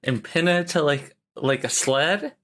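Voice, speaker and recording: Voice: mid-range voice, expressive, measured pace, fairly bright tone. A player voicing a folksy, easygoing adventurer in a tabletop game, rambling and colloquial. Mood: scheming.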